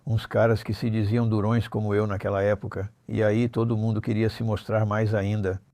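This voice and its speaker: Older man, low even voice, blunt storyteller. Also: normal speed, no echo. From Normandy, France. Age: 70s. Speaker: interview guest, former armed robber. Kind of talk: conversational life-story recounting.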